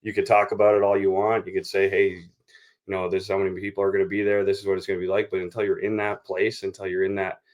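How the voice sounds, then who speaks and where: A man with a low voice that stays fairly flat. He speaks like a coach in a sports interview, measured and explanatory, dropping in "you know" as he works through his points. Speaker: baseball coach being interviewed.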